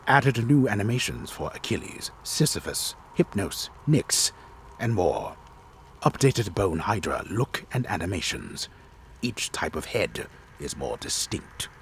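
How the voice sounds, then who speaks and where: Casting: grave storyteller for a video game, played by a man, deep bass register, dramatic swooping delivery, solemn and deliberate announcing. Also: sing-song, vocal fry, slight background noise, full character voice. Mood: grave, theatrical. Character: disembodied male storyteller, grave and theatrical. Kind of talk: dramatic reading of game patch notes.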